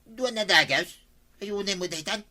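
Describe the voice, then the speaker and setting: A man's voice: high-pitched, normal speed, bright, forceful tone. A man playing a complaining tricycle driver in an Ilocano comedy radio drama, grumbling and protesting. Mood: exasperated.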